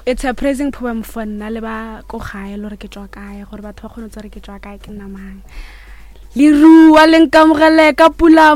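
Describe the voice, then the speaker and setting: A woman poet reciting a praise poem in a rhythmic, declamatory way. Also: sits high. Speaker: poet, radio guest.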